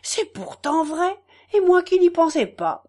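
A woman's voice: a little high, unhurried, darkish tone. A woman voicing a talkative middle-aged peasant farm wife with emphatic, rustic country speech. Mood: eager.

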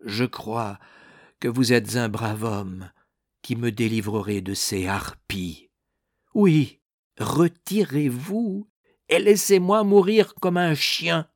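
A man's voice: lowish voice, flat delivery, takes it slowly, no echo, studio quality. A man voicing a proud, wounded officer on his deathbed, irritable and defiant, speaking with a strained, emphatic exasperation in a dramatic storybook reading. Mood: weary defiance. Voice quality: weak, strained voice.

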